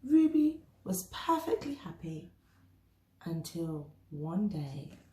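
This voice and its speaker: In an echoey room, a woman reads a picture book aloud for young children. Her pitch swings expressively, and she takes her time, with deliberate dramatic pauses. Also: very animated, mellow. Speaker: storybook reader.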